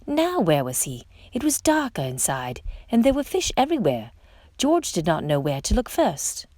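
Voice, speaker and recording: Animated woman reading a children's storybook. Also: lowish voice, moderate pace, no echo. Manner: expressive, dramatic emphasis on exclamations and questions. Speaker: storytime reader. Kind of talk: read-aloud children's picture book narration.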